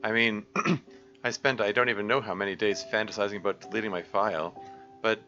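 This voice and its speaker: Man putting on a concerned teen girl, kinda hoarse, bright tone, dull lo-fi sound. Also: on the low side, steady tone, a little fast, faint music behind. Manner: concerned, questioning. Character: caring, worried teenage girl.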